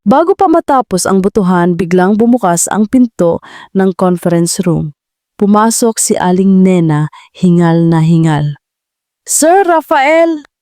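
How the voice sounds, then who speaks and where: A woman's voice: lowish voice, expressive, measured pace, dark tone, no room sound, studio quality. A woman storyteller reading a scripted audio drama in a dramatic narrating style.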